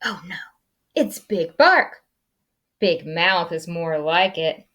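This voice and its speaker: A woman voicing a timid, excitable crowd of small prairie dogs in a picture book, with exclamatory delivery that swoops from hushed to squealing. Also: on the low side, slow, exaggerated voice. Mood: nervous.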